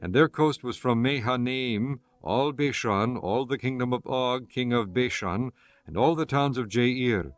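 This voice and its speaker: A man reading scripture as an audiobook narrator, in a measured, formal and solemn manner. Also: medium pitch, dry recording. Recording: music under the voice. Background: soft instrumental music.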